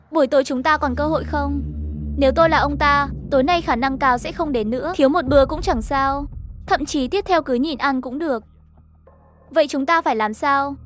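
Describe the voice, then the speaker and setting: A woman reading a scripted story narration, voicing the dialogue lines herself as the narrator. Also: sits high. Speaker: narrator of an animated horror story recap.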